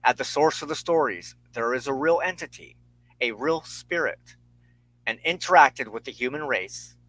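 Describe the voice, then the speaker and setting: A man hosting a solo spirituality show, lecturing on folklore in an earnest, measured way, partly reading from notes. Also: mid-range voice, lively intonation, a bit bright, no echo, poor audio. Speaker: host of a spirituality talk show.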